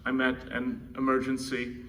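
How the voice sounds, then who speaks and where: A man with a Czech accent speaks informally to a conference from a podium-style introduction. His voice is bright and forceful, with fairly even pitch, picked up at a distance with faint noise. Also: moderate pace. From Czech Republic. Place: indoor conference room. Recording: distant mic, faint noise, room ambience.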